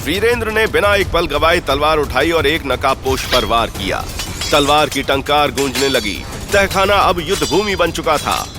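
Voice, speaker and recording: A man's voice: a little high, animated, moderate pace. A man giving dramatic scripted narration of a story. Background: background music.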